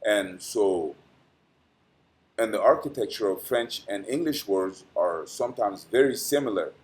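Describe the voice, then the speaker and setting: A man with a somewhat low voice hosts an astrology channel and reads a dense weekly forecast. He stumbles now and then and fills gaps with "uh", pronouncing each English word with care. His sign-off turns chatty and meandering. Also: unhurried. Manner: reads dense prepared text with frequent "uh" fillers and stumbles; careful pronunciation of English as a later-learned language. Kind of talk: reading a weekly horoscope, then casual sign-off.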